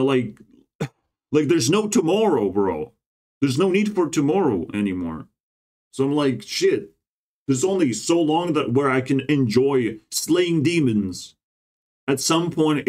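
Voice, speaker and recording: Casting: man, meandering casual monologue, profane, drops "bro" often, erratic pitch swings. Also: darkish tone. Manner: meandering, swears often, ends phrases with "bro". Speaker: vlogger.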